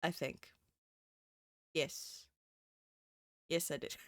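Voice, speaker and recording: A woman's voice: very slow, no room sound, studio quality. Giggly, sniffly woman streamer. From Southern Hemisphere. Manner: chatty and giggly, many fillers. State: sniffly with a cold. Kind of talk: casual live-stream chat. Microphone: desk microphone.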